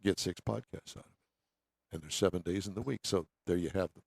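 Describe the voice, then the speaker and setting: A man's voice: low-pitched, a little fast, vocal fry, darkish tone, husky, no room sound. An older American man co-hosting a radio show, chatting casually and reading items aloud in a jokey, conversational way. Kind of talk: casual banter and reading news stories aloud.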